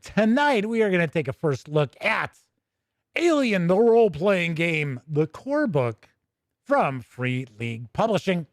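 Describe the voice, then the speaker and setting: Casting: American man, middle-aged gaming show host, slightly high voice, chatty livestream delivery, close and dry sound. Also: no echo. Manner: chatty, conversational, with playful asides. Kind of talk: casual livestream commentary.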